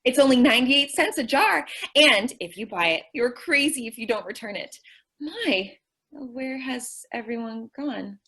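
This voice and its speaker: An actress as a scatterbrained young woman in a radio comedy. Her voice sits on the high side, and she sounds earnest and naive as she muddles along. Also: animated, a little slow, sharp tone, breathy edge, exaggerated voice. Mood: flustered. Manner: naive, earnest, mangles what she means to say. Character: ditzy, cheerful, scatterbrained young woman shop worker. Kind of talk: old-time radio comedy acting.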